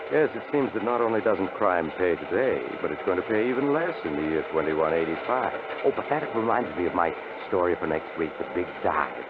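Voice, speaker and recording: An actor playing a sinister, wryly macabre storyteller, hosting a 1950s radio drama with mock sympathy. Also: male voice, deep voice. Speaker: host. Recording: tinny, very noisy 1950s radio recording.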